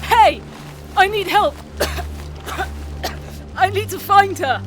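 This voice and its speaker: A man's voice: extremely high pitch, expressive, extremely slow, a bit bright, faint music behind, heavily acted, rough recording. A man playing a frantic, blunt, sweary teenage boy in an audio drama. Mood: desperate. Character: panicked, sweary British teenage boy searching for sister.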